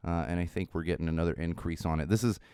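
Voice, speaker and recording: A middle-aged man hosting a solo podcast, his voice slightly raspy while it recovers, chatting in a rambling way with lots of uhs. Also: sits low, steady tone, rapid-fire, a little mellow, no room sound, clean studio sound. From Southern California. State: recovering from lost voice. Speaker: podcast host.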